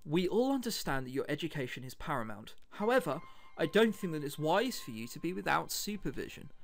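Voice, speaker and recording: Man reading as a placating grown-up, lively intonation. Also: a little high, a little fast, gravelly, pitched below the performer's own voice. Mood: reassuring. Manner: reassuring, explanatory. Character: earnest, placating adults: a father and a doctor. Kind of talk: visual novel dialogue reading.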